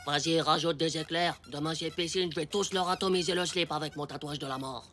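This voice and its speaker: Over clear background music comes a cocky young schoolboy acted out by a performer from a cartoon. He brags and dramatizes in slangy kid talk, naive but very sure of himself. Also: medium pitch, fairly even intonation, fairly quick, close to an everyday voice. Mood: boastful. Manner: bragging, theatrical, slangy. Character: cocky, dramatic ten-year-old schoolboy, boastful and naive. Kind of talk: French animated cartoon dialogue. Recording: background music under dialogue.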